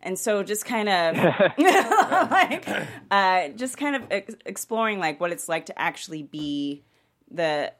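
A woman's voice: mid-range voice, sing-song, takes it slowly. A woman panelist in a casual discussion, rambling along with lots of filler "likes" as she works out her point. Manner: rambling, peppered with "like".

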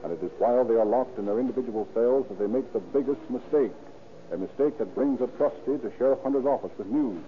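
A man's voice: medium pitch, fast talker, narrow, tinny audio. Formal male announcer on thin old radio. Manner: formal, declamatory. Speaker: narrator and sponsor announcer. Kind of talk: 1930s radio narration and advertising. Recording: thin, hissy 1930s radio recording.

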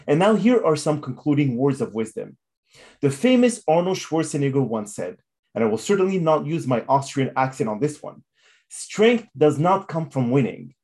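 A man's voice: on the high side, sing-song, normal speed, a little mellow. A man hosting an interview podcast in a formal, effusive and motivational manner. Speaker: podcast host.

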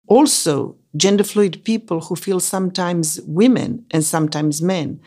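Co-host, non-binary with a woman's voice, Greek native, somewhat low pitch, scripted explainer delivery. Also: unhurried, warm tone, slightly rough. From Greece. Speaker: podcast co-host. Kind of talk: scripted educational dialogue.

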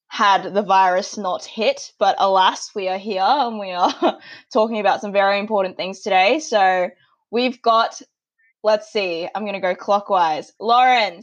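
A young Australian woman hosting a podcast, upbeat and quick to giggle. Her pitch sits in the middle. The sound is a little dull and lo-fi. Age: young adult. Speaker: podcast host. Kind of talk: casual podcast chat. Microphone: remote call audio.

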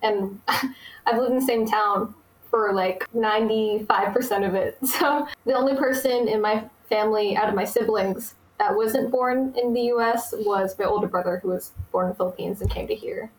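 A woman's voice: mid-range voice, moderate pace, reverberant, poor audio. A young woman from the United States, talking casually and eagerly, with many fillers. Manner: chatty, many fillers, enthusiastic. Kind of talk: casual conversation.

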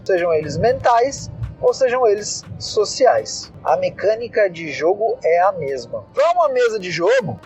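A man's voice: sits high, darkish tone, poor audio. A Brazilian man giving a casual, explanatory monologue as a tabletop game designer, emphatic and repeating his key points.

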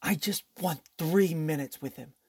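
A rough-voiced young lawyer in a radio play, acted by a man. He is hard-nosed and impatient, pushing every line with urgency. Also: fairly high pitch, measured pace, a little mellow, exaggerated voice. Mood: urgent. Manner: tough, urgent. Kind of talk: old-time radio drama acting.

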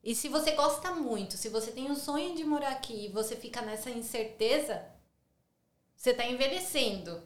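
A woman's voice: fairly high pitch, lively intonation, normal speed. A woman from São Paulo with a São Paulo accent, a podcast guest talking casually and chattily, often tagging her sentences with questions. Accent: Brazilian Portuguese, São Paulo. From São Paulo, Brazil. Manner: chatty, frequent tag questions. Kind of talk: casual conversational interview. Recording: roomy echo.

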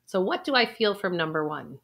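A woman at an ordinary middle pitch talks straight to the camera, leading a step-by-step breathing and intuition exercise. Her delivery is calm and patient, broken by sighs and small laughs. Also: brisk, slightly rough. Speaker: intuition coach. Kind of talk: guided exercise for viewers. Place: indoors, recording a video.

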